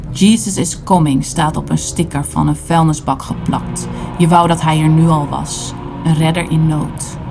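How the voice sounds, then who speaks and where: A woman performing a literary audio play, narrating in short, deliberate dramatic phrases. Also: deep voice, vocal fry. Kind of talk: literary spoken-word narration in second person.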